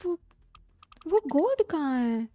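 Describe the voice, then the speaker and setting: A woman's voice: sits high, big pitch swings, very fast, mellow, dry recording. A woman with an Indian accent giving casual live commentary as she plays a game, muttering reactions to herself. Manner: switches between Hindi and English, reacts to herself. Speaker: game streamer. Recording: game music behind the voice.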